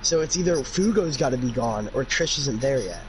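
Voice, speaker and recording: A man's voice: mid-range voice, expressive, a little fast, noisy background. A man doing a casual amateur fanfiction reading, with informal, opinionated commentary. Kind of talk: casual amateur read-aloud with chatty commentary.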